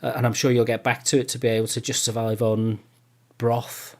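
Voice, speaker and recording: A man's voice: mid-range voice, flat delivery, brisk, a little mellow. A middle-aged man, a podcast host chatting casually in a jokey manner. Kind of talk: casual chat.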